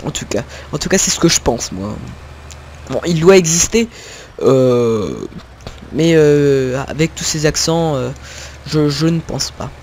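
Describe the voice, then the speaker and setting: A man's voice: fairly high pitch, expressive, a little slow, darkish tone, a little hiss or hum. A young man from northern France giving casual, rambling gameplay commentary, full of fillers and little laughs at himself. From Picardy, northern France. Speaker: gaming video creator.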